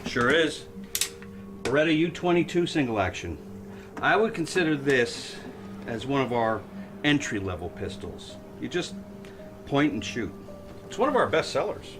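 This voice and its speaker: A man's voice: mid-range voice, very animated, normal speed, long pauses, music in the background, lightly acted. An actor playing a chatty small-town gun shop salesman with an American accent and friendly, casual patter in an audio drama.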